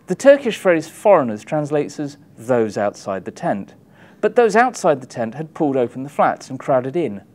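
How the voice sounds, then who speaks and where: A man in his thirties giving an expressive, wry reading from his book, with emphatic delivery and dramatic pauses. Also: on the high side. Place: small indoor room, publisher's backdrop. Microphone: lapel mic.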